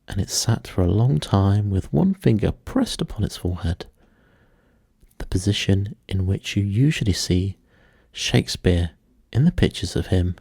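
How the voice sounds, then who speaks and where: A man reading a bedtime story in a slow, soothing, measured and hushed way. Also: low voice, creaky, slightly rough, dry recording, studio quality. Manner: measured, hushed, gently paced delivery. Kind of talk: slow, soothing scripted storybook narration for sleep.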